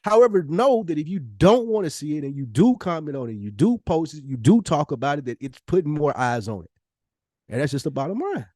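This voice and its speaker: Higher-voiced man debating on a podcast. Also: sing-song, brisk, a little mellow, no room sound, clean studio sound. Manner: emphatic, argumentative, slangy, swearing. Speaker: podcast co-host. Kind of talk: casual debate, opinionated podcast talk.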